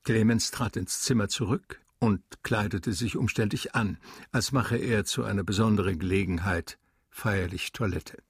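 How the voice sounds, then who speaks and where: Man, grave radio drama narration, husky, gravelly, measured, dry studio sound. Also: lowish voice, fairly even intonation, no room sound.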